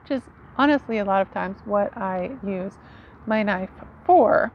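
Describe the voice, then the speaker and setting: A woman's voice: medium pitch, unhurried. A woman in her forties giving an informal, conversational explainer to camera outdoors, like a teacher showing gear. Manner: informal, conversational, often seeking agreement with "right". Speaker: foraging teacher presenting knives. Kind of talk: casual explainer talk to camera. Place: outdoors under a tree in a leafy yard. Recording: dull sound with outdoor ambient noise.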